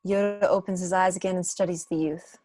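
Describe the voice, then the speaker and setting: A woman delivers scripted narration for a home table read. Her voice is a bit low for a woman, and the sound is dry with little room in it. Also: fairly even intonation, a little fast, a little mellow, dry recording. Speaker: reader of stage directions. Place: home room, on a video call.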